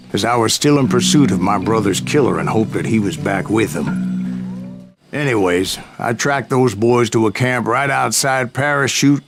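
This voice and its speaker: Guy doing an old gunslinger telling tall tales, real gravelly drawl, folksy n boastful, music under it, western game. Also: mid-range voice, close to an everyday voice. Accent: American Western drawl. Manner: folksy, boastful, unhurried storyteller. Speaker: narrator. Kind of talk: dramatic storytelling narration in a Western game.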